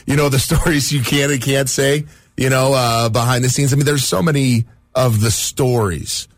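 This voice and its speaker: A man's voice: medium pitch, steady tone, moderate pace, no room sound. A man at a radio studio reminiscing on air in a loose, rambling way, full of "you know" and "uh". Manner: rambling, reminiscing, lots of fillers. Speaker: radio station staffer. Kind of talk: casual storytelling on air.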